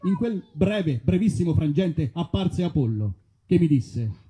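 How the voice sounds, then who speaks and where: A man's voice: fairly high pitch, animated, unhurried, warm tone. A man performing theatrical comic storytelling like a mock detective, in a mock-serious way at a live show. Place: live performance at an outdoor festival.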